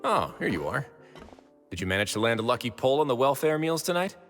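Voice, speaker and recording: A man playing a composed, shrewd prison official in a video game, speaking in a measured, dryly courteous manner. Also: mid-range voice, very animated, in a large hall, close to an everyday voice. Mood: wry. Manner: measured, unhurried, dry. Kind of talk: video game character acting. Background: game music.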